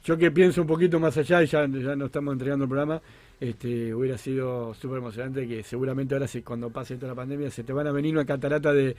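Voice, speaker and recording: A man's voice: medium pitch, fairly even intonation, fast talker, a little mellow, dry recording. A man with a Rosario Argentine accent hosting a chatty live radio show in an effusive, joking way, often repeating himself. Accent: Rosario Argentine Spanish. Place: radio studio.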